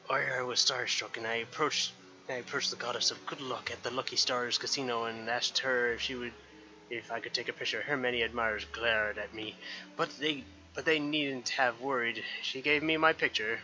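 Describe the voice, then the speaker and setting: A man voicing a bashful, gushing admirer in a fantasy game, reading theatrically and haltingly. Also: mid-range voice, fairly even intonation, sharp tone, highs cut off. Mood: bashful, smitten. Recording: game music behind the voice.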